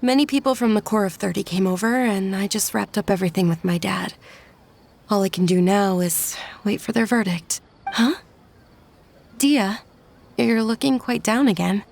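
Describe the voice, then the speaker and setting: An actress voicing a gentle, caring young noblewoman in a video game, soft and eager in her speech. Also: mid-range voice, normal speed, vocal fry, slight background noise, natural voice.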